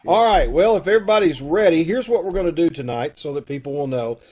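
Higher-voiced man hosting casually. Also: animated, quick pace, a little mellow, slightly rough. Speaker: host of an amateur radio-drama troupe. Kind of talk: casual chat, introducing cast and show. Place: indoor room at home, video call. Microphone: video call microphone.

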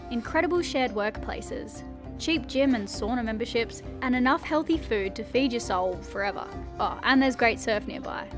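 Upbeat woman narrating a travel vlog. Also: fairly high pitch. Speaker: vlogger. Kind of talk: scripted voice-over narration. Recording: music underneath.

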